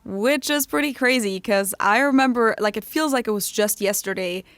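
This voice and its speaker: A woman's voice: on the high side, moderate pace, a bit bright, no room sound. A woman who is a native German speaker, co-hosting a podcast in casual, chatty English with frequent giggles. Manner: giggly, laughs often between remarks. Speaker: podcast co-host. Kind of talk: casual chat.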